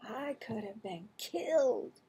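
Storybook schoolboy, played by a woman reader: awkward, halting, husky, unhurried. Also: fairly high pitch, lively intonation, warm tone, heavily acted. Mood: musing. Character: schoolboy, awkward and unsure of himself.

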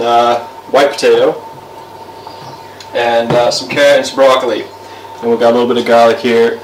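A young man ambles through a home cooking demo, full of "uh"s and easy asides. His voice is picked up from a camera across the kitchen, so it sounds roomy and a little distant. Soft clatter of pans sits underneath. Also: mid-range voice, noisy background. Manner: relaxed, rambling, lots of fillers. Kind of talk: casual cooking demonstration. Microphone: camera mic from across the room.

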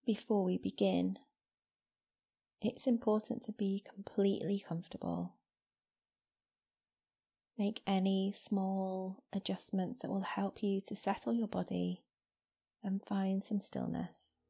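Softly creaky, even-toned woman guiding meditation. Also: mid-range voice. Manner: slow, soothing, gentle instruction with long pauses. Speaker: yoga nidra teacher. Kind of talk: guided meditation. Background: new-age music.

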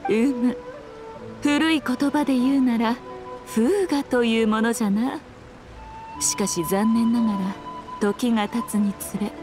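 An elegant fox shrine maiden speaks in a high voice with sly, haughty poise. Her phrasing is archaic and playfully self-assured, in anime game style, over background music. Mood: composed, explanatory. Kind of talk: Japanese anime game voice acting.

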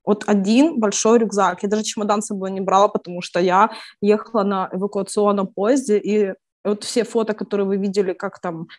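Young woman, video-call interviewee, earnest and halting, middle pitch. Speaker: evacuated interviewee.

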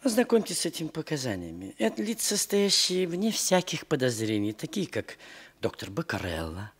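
An actor in a 1960s Soviet radio play playing a condescending, self-assured investigator from the capital, pompous and official. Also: male voice, fairly high pitch, sing-song, breathy edge. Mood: reproachful. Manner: pompous, official, measured phrasing.

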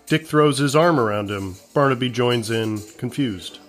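A man's voice: medium pitch, moderate pace. A man narrating a comedy script, reading out the stage directions.

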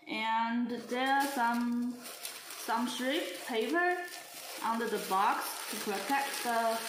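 A woman from a brush company unboxing a gift set at a table, speaking in halting, simple phrases with frequent restarts. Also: fairly high pitch, echoey room, background noise. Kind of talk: product unboxing walkthrough. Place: indoor showroom at a marble table.

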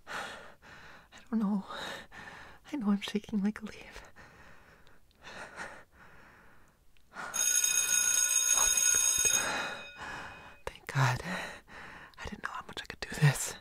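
A woman playing a nervous, pouty student in an intimate roleplay audio, stammering and scolding in a breathy, hushed voice. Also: medium pitch, lively intonation, slow pace, long pauses, in a whisper. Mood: shy, daring.

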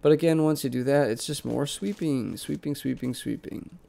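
Young male vlog host with a head cold, nasal and gravelly, relaxed rambling delivery. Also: medium pitch, moderate pace. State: congested, sick and nasal. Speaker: plant channel host. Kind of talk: casual voice-over vlog narration. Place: basement plant room.

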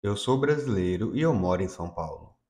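A man's voice: lowish voice, lively intonation, brisk, a little mellow. A man teaching English to Portuguese speakers, giving each phrase in Portuguese and then saying the English twice. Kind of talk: slow bilingual drill lesson.

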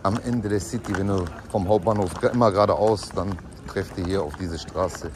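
A man's voice: deep voice, fairly even intonation, normal speed, background noise. A man in his thirties vlogging casually to a handheld camera, chatty and joking with his viewers. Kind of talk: casual vlog narration to camera. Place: hair salon and city street. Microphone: handheld camera at arm's length.

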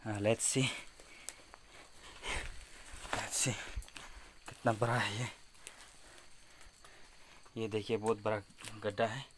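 A man with a Northeast Indian accent narrating casually on location outdoors at a cave, in halting, repetitive, simple phrases. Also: fairly low pitch, flat delivery, noisy background. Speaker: vlogger exploring a cave. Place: outdoors at a forested cave mouth. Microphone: handheld phone.

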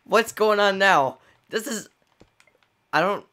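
A man's voice: sits high, animated, takes it slowly, bright, forceful tone. Bemused, laughing man on a game stream. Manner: laughs often, teasing and bemused. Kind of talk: casual gameplay banter.

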